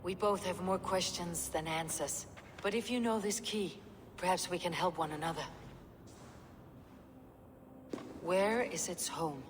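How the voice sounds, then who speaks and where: Low-voiced, steady Viking warrior played by a woman. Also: moderate pace, slight background noise, close to an everyday voice. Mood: measured, probing. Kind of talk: video game acting.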